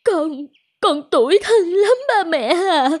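An actress playing a long-suffering, anxious young wife and mother in an animated folk tale, plaintive in manner. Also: very high-pitched, slow pace, full character voice. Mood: exasperated, tearful. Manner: plaintive, lamenting. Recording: music bed under the voice.

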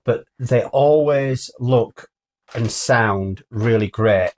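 A man's voice: medium pitch, takes it slowly, darkish tone. A middle-aged man with a British accent, chatting casually as a show's co-host. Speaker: co-host of a hi-fi news show. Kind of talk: casual chat. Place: small wood-panelled room, indoors.

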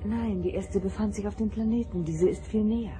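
A woman playing a sensitive, earnest empathic ship's counselor in a radio drama, speaking intently and haltingly, with dramatic weight. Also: medium pitch, dark tone, faint music behind, close to an everyday voice.

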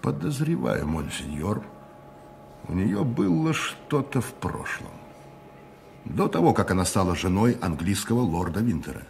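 A man's voice: low-pitched, big pitch swings, a little slow, creaky, a little mellow, a little hiss or hum, heavily acted. An actor playing a cardinal's deferential henchman, a count, respectful and guarded, in a radio play. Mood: wary, obedient.

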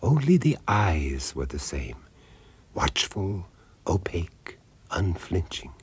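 A grave storyteller for a radio horror play. His low, husky voice moves very slowly through suspenseful pauses, deliberate and ominous. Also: sing-song, exaggerated voice. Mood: ominous, hushed. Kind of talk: scripted narration in radio horror drama.

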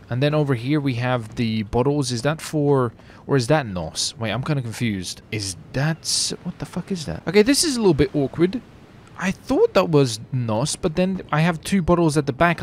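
A man's voice: medium pitch, a little hiss or hum. A man giving casual, slangy gameplay commentary as a gaming video host, hyping things up with exclamations.

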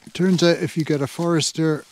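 A man's voice: on the high side, steady tone, a little fast, darkish tone, slight background noise, poor audio. An English man in his sixties with an English accent, chatting casually and wryly to camera as an outdoor vlogger, on a clip-on mic out on an open hilltop. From England. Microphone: clip-on lapel mic.

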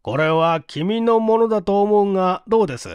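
A man's voice: sits high, normal speed, a little mellow, smooth, no room sound, lo-fi sound, pitched above the performer's own voice, natural voice. A man voicing a grave, authoritative police chief in a mystery story, solemn, formal and probing. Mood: accusing, stern.

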